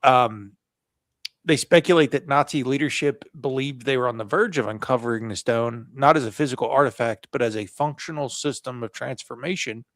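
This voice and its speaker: A man's voice: medium pitch, a little fast, fairly bright tone, no room sound, studio quality. A man co-hosting a podcast, reading from his notes in a casual, jokey way and adding asides. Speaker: podcast co-host.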